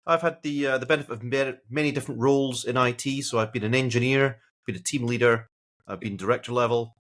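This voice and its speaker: A Scottish man introducing himself on a podcast in a friendly, slightly halting way. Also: mid-range voice, fairly even intonation, fairly bright tone. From Scotland. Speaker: podcast co-host, IT consultant.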